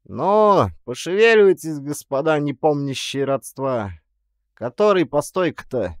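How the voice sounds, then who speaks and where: A grumpy petty official acted out by a male reader grumbles and orders people about. He is pleased with himself, and his delivery swings widely in pitch, as in a dramatized audiobook. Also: animated, unhurried, smooth, no echo, studio quality. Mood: commanding. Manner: grumbling and commanding. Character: grumpy, bossy, self-satisfied village clerk.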